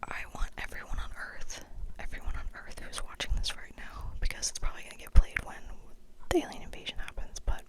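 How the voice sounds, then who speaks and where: A woman whispering breathily in a playful, mock-serious ASMR monologue, casual and rambling, often checking in with the listener. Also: a little fast. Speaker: ASMR video host.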